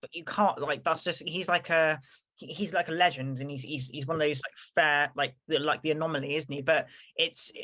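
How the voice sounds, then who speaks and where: A woman's voice: deep voice, fairly even intonation, a little fast, a bit bright. A woman co-hosting a podcast in casual chat, rambling along and acting out what people said to her. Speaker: podcast co-host.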